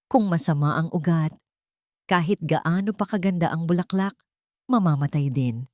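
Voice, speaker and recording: A woman voicing an elderly, gentle and wise former gardener who speaks politely and softly in a storybook. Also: fairly low pitch, normal speed, no room sound, clean studio sound, natural voice. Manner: polite and soft-spoken. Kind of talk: storybook narration.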